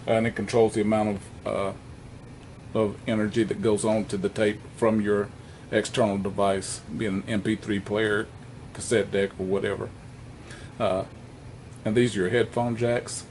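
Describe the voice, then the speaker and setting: A man presenting an unscripted hands-on equipment walkthrough in a plainspoken, halting way, correcting himself as he goes. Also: lowish voice, a little mellow, a little hiss or hum.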